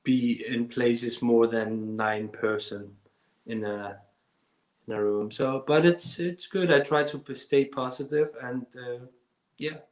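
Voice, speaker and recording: A man in his thirties from Iraq, speaking in a halting way with many restarts as a guest filmmaker on a video call. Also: medium pitch, steady tone, reverberant. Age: 30s. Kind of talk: casual interview over video call. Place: home during lockdown.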